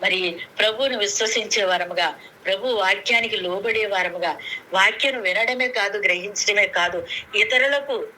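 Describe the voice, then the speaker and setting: A woman's voice: mid-range voice, moderate pace, bright, forceful tone. A woman preaching earnestly to a prayer meeting over a conference call, repeating phrases for emphasis. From Andhra Pradesh, India. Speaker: lay preacher. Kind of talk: sermon, retelling scripture to a prayer meeting. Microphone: conference call phone. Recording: noisy conference call recording.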